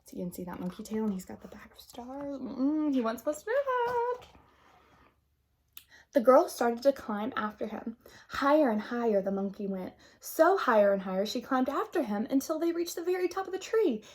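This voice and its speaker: A woman reading a children's picture book aloud in an expressive, gentle, unhurried way, with casual asides to young listeners. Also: high-pitched. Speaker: storybook reader.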